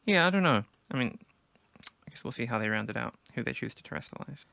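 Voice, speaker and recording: A man going hoarse from a sore throat, giving casual commentary on his team draft and thinking aloud with many restarts. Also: mid-range voice, lively intonation, quick pace, pushed and bright, dry recording. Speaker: gaming video creator.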